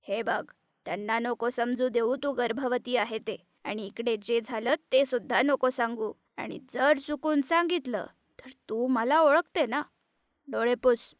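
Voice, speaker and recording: A woman's voice: a little high, measured pace, no echo, clean studio sound, voice pushed down, close to an everyday voice. A woman doing a harsh scheming mother-in-law. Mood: cold threat. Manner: threatening, scolding.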